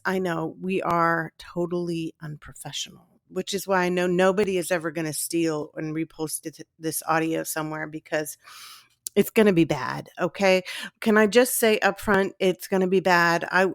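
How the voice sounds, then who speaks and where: A woman with a gravelly, fry-edged voice chats off the cuff as an author hosting her own podcast. She rambles and puts herself down in an informal way. The sound is close and dry. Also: medium pitch, dry recording. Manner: self-deprecating, informal, digressive. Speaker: author and podcast host reading own story. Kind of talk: casual rambling chat, then read-aloud storytelling. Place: at home in a lived-in room.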